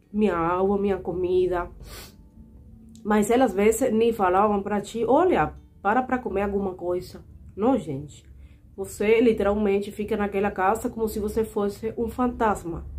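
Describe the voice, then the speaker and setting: A woman's voice: mid-range voice, a little mellow. Earnest young Cuban woman vlogger. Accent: Cuban Spanish. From Cuba. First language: Spanish. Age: 20s. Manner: earnest, indignant, repeatedly addressing viewers as "gente". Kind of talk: personal storytelling to camera.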